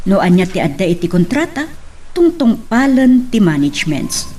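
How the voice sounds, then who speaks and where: A woman acting lively Ilocano auntie. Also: mid-range voice, moderate pace, husky. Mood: matter-of-fact. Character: older auntie, a seasoned, advising overseas worker. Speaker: actor in an audio drama. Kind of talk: Ilocano radio-style drama acting.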